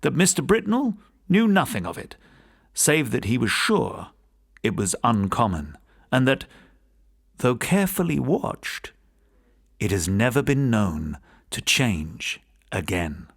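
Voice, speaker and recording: A man giving scripted narration of gothic ghost stories as a host, in a theatrical, expressive storytelling manner. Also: medium pitch, takes it slowly, creaky, long pauses. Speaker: podcast host and audiobook narrator.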